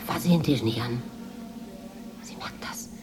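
An actress playing a secretive, possessive housemaid in a radio drama, speaking in a guarded, quietly insistent way. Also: female voice, very deep voice, unhurried, in a whisper. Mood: guarded, wary. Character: secretive, possessive Moroccan housemaid, obsessed with her mistress.

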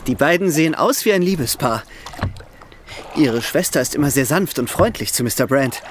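Actor as charming, animated young gentleman suitor. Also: on the high side, normal speed, noisy background, lightly acted. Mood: curious, earnest. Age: young adult.

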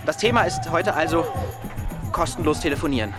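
A man's voice: on the high side, animated, brisk, loud music behind the voice, over a noisy crowd, natural voice. An actor playing an awkward, nervous young male hacker in a radio drama, hesitant and stammering. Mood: nervous, eager. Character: nervous, awkward young hacker inmate explaining phone tricks.

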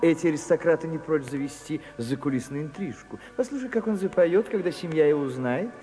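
A man's voice: a little high, animated, fairly quick, dark tone, natural voice. A man voicing a domineering, scornful middle-aged mother in a radio play, melodramatic, scolding and lamenting. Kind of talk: radio drama acting, play within a play.

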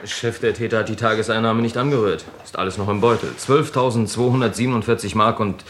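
A man plays a dutiful junior detective in a radio crime drama. He reports precisely and quickly in a lowish voice, with faint noise behind him. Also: fairly even intonation, close to an everyday voice. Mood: matter-of-fact. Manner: brisk, precise. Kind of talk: radio crime play acting.

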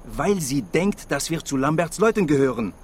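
An actor playing an abrasive, distrustful French journalist from Paris in a radio drama, brusque and sardonic. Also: male voice, a little high, very animated, moderate pace, a little mellow, a little hiss or hum. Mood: scornful, insistent.

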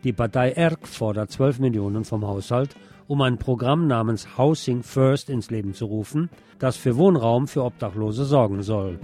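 A man presenting radio news, reading from a script in a measured bulletin style. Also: medium pitch, warm tone, soft background music.

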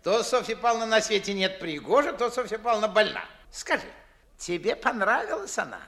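An actor playing a pompous, fussy old aristocratic father in a 1950s radio play, declaiming theatrically with drawn-out emphasis. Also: high voice, sing-song, a bit bright, exaggerated voice. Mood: irritated, prying. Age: older. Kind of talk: 1950s stage-style radio play acting.

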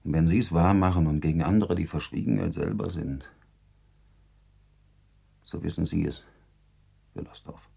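A man's voice: deep voice, moderate pace, creaky, pauses often, warm tone, slightly rough, exaggerated voice. A man playing a stern, proud, brooding baron in a radio drama, speaking with formal control and insistence. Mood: bitter, insistent. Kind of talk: German radio drama acting.

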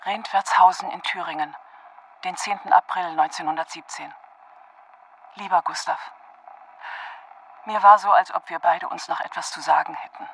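A woman playing a shy, tender letter writer in a radio drama, speaking softly, intimately and with hesitation. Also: on the low side, steady tone, normal speed, pauses often, slight background noise, low-quality recording. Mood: tender, wistful.

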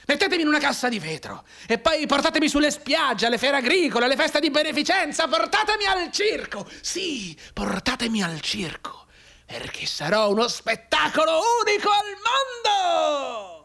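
An actor playing a maimed, bedridden war veteran in a theatrical radio monologue, intense and declamatory, anguished and bitterly sardonic. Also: male voice, extremely high pitch, very animated, gravelly, full character voice. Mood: frenzied showman. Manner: intense, declamatory, halting between hushed and cried-out delivery.

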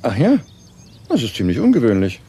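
An actor playing a genial but sceptical space programme doctor in a radio drama, with a creaky voice and an animated manner. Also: male voice, medium pitch, fairly quick, exaggerated voice. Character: genial, sceptical male doctor, former space project leader. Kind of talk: German radio drama acting.

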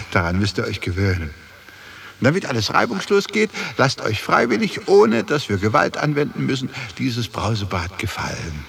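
A swooping, glib camp official. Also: male voice, medium pitch, vocal fry, a bit bright, heavily acted. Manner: oratorical, falsely genial, condescending. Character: glib, falsely reassuring male camp official making speeches. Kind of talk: 1960s German radio drama acting.

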